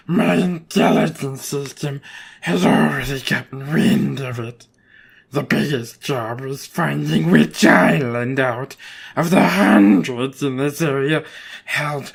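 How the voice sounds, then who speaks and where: A man playing a gloating supervillain crime boss in a motion comic, with a gravelly, husky voice and a grandiose, deliberate manner. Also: a little high, fairly bright tone, full character voice. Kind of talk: motion comic villain acting.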